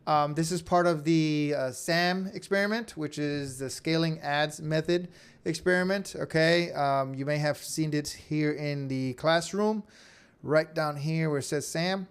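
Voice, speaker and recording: Male online course instructor, voice a touch high, conversational tutorial delivery with frequent check-ins. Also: fairly even intonation, measured pace, smooth. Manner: conversational, repeatedly checks in with "okay?" and "right?". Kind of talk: informal screen-share tutorial.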